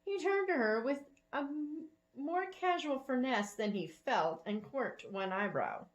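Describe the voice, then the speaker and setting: A woman reads a story aloud as its narrator. Her delivery is animated and expressive, with pitch that moves widely and pauses placed for drama. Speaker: author reading own story aloud.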